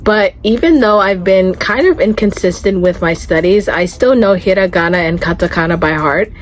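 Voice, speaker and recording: A young woman in her twenties chats earnestly to camera in a relaxed vlog, her voice sitting a little low. She talks in a loose, friendly flow with plenty of "like" fillers, and faint music plays underneath. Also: moderate pace. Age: 20s. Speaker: vlogger. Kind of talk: casual talking-to-camera vlog.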